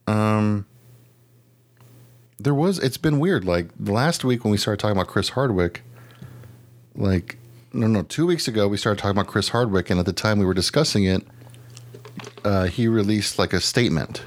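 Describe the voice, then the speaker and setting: A man with a low voice co-hosts a podcast in casual conversation. He rambles along in loose slang and drops swear words casually. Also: moderate pace, pauses often. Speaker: podcast co-host. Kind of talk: casual chat.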